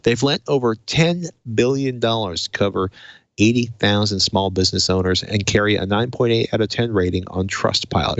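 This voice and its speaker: A man's voice: on the low side, a bit bright, dry recording. A man hosting a tech podcast, talking through hardware and reading in a presenter's way. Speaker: podcast host. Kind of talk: tech podcast talk and host-read sponsor spots.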